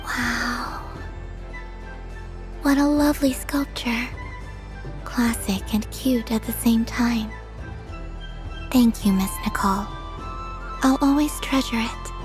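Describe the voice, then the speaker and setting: Casting: a serene, curious young goddess, high voice, slow polite delivery, understated game acting. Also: female voice. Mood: quietly touched. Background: music.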